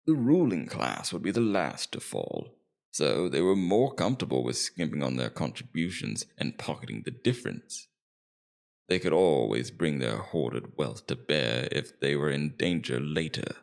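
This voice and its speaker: A man playing a scheming, immortal Demon King in an ASMR roleplay, taunting and persuasive, with theatrical, deliberate emphasis. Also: rumbling low, sing-song, creaky, exaggerated voice. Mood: cynical, scornful. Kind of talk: ASMR roleplay, scripted villain monologue.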